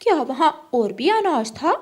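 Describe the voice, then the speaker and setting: Woman as a high-voiced scheming crow wife. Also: big pitch swings, measured pace. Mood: curious. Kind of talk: Hindi cartoon story voice acting.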